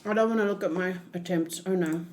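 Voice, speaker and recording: A woman hosting a read-along in a casual, expressive and giggly reaction style. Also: lowish voice, fast, a little hiss or hum. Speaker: host of a manga read-along.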